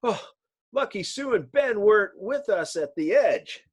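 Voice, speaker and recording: A man voicing an excitable male comic-book hero in a breathless, exclamatory, melodramatic reading. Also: high voice, unhurried, slightly rough, voice pushed up, heavily acted. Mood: urgent. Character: excitable, heroic male scientist and young sidekick.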